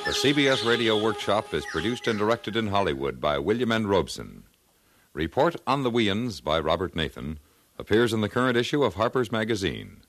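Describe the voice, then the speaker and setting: A man's voice: lowish voice, sing-song, a little fast, a bit bright, slight background noise. A man reading scripted credits as a formal, polished 1950s network radio announcer.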